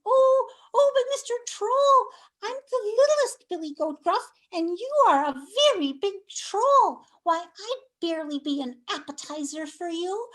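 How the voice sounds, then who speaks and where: A woman's voice: very high voice, expressive, a little slow, fairly bright tone, exaggerated voice, rough recording. A woman voicing a timid little billy goat boy who stammers and frets. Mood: pleading. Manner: stammering, fretful.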